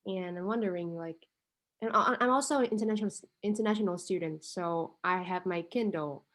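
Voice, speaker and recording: A woman's voice: lowish voice, a little fast, fairly bright tone. Woman student, hesitant. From Vietnam. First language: Vietnamese. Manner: hesitant, thinking aloud, many "um" and "like" fillers. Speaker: international student with a startup idea. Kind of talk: casual conversation.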